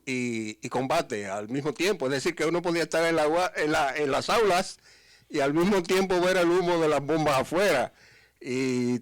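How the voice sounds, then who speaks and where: Measured Dominican man, smooth clear voice. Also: on the high side, no echo. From Dominican Republic. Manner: calm, measured, good-humored. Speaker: guest, teacher. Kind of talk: interview answers.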